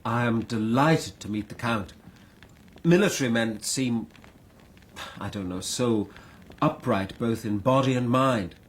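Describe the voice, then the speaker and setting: An actor playing an earnest, stiffly proper young lord with an upper-class English accent in a radio drama. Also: male voice, medium pitch, a little slow, pauses often, close to an everyday voice. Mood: earnest, polite. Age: young adult. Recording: faint background noise, 1990s radio play.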